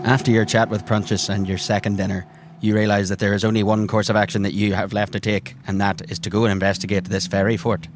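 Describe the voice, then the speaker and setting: A man's voice: fairly low pitch, little pitch movement, fast talker, background noise. A man working as game master, narrating scenes descriptively for a tabletop role-playing game.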